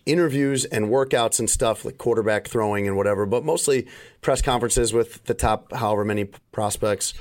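A middle-aged man hosting a podcast in casual chat, rambling through stories with plenty of fillers, his voice still recovering and a little limited in range. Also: mid-range voice. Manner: rambling storyteller, frequent fillers. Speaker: podcast host.

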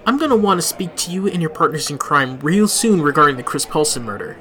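A man's voice: a little high, animated, fast, sharp tone. A man playing a weary small-town police chief with a dry manner.

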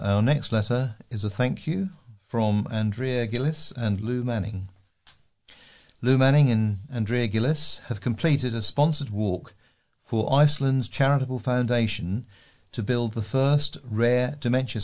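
A man's voice: medium pitch, measured pace, a little mellow. A man reading local newspaper letters aloud as a volunteer newsreader.

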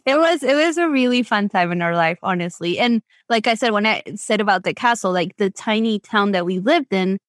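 A woman's voice: medium pitch, expressive, a little fast, few pauses, dry recording, clean studio sound. A woman with an Argentine Spanish accent, chatting as a podcast guest in a rambling, filler-filled way. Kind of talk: casual storytelling interview.

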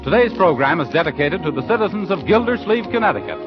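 An American man announcing in a formal, measured 1940s radio style. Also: fairly high pitch, sing-song, over music. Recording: muffled vintage radio broadcast recording.

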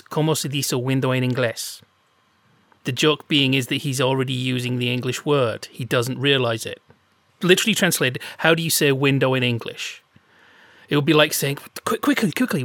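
A man's voice: on the high side, a bit bright, dry recording. A man co-hosting a casual chat show, telling playful anecdotes. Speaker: podcast co-host.

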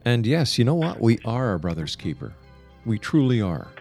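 A man hosting a talk radio interview, speaking in an emphatic, deliberate way and repeating phrases for emphasis. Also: medium pitch, sing-song, gravelly, darkish tone, soft background music. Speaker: radio show host.

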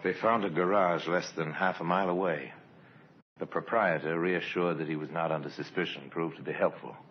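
Very deep grave old-radio crime narrator, played by a man. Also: steady tone, lightly acted. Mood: grave, suspenseful. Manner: measured, dramatic, portentous. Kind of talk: 1950s radio drama narration. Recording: muffled old radio recording, music behind.

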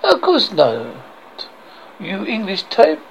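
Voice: mid-range voice, huge pitch swings, takes it slowly, gravelly, slight background noise, full character voice, poor audio. A reader putting on a taunting French castle guard. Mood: smug. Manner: mocking, contemptuous.

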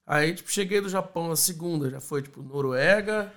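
A man with a Brazilian accent, a musician guest telling stories casually in halting, slangy bursts. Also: a little high, a bit bright. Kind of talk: casual storytelling in an interview.

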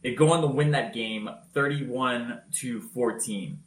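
A man telling football highlights in a casual podcast chat, energetic and loud-voiced. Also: measured pace, roomy sound, poor audio. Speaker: podcast co-host. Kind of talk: casual sports recap.

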